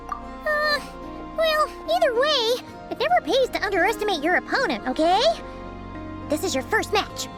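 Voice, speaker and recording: Little chirpy fairy buddy, squeaky kid-like voice, really animated, video game w music playing. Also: female voice. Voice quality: squeaky, childlike. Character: tiny, chirpy, eager fairy-like companion creature.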